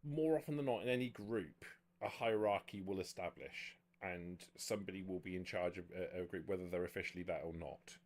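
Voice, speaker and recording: A man speaking as game master, explaining the rules of a tabletop roleplaying game in a measured way. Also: sits low, darkish tone.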